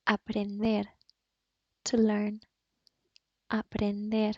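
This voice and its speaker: Slow, careful woman with a Spanish accent. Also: medium pitch, completely flat delivery, no echo. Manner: slow, clearly enunciated word drills. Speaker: Spanish teacher. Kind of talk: language lesson. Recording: dull, dry voice-over.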